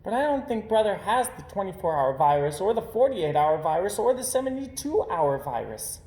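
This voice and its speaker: A man's voice: sits high, very animated, moderate pace, darkish tone, roomy sound, voice pushed up. A man voicing a little girl bear cub from a storybook, prim and pointed. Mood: knowing.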